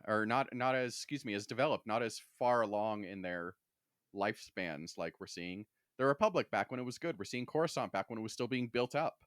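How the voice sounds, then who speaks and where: A man's voice: medium pitch, fairly bright tone, no room sound, clean studio sound. A man talking casually as a podcast host, discussing things in a wordy, conversational way with lots of "like". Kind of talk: casual discussion of a sci-fi franchise.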